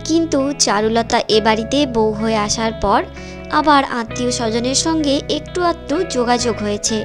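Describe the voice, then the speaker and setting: High-voiced woman narrator over music. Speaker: storyteller, narrator. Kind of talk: scripted story narration.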